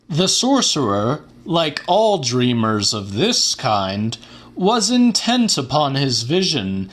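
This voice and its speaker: A man playing a pompous, grandiose scientist-showman lecturing a theater audience in a formal, theatrical, deliberate way, as in a dramatic audiobook. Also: a little high, a bit bright, full character voice. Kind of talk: dramatic audiobook reading of a monologue.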